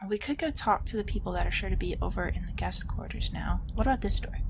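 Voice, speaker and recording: A woman's voice: mid-range voice, quick pace, noisy background. A young woman with a stuffed-up nose, thinking aloud casually while playing a game. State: stuffed up, sniffling. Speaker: let's play gamer. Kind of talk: casual gameplay commentary.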